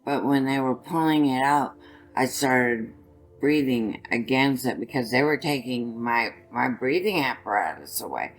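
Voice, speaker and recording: Raspy-voiced older woman. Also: a bass voice, animated, low-quality recording. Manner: halting, restarts often while recounting. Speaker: guest. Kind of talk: personal story in an interview.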